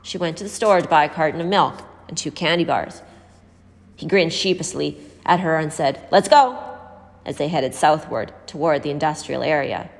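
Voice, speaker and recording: A woman's voice: low voice, normal speed, gravelly. A woman reading a story aloud as scripted narration. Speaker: story reader. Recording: hall-like reverb.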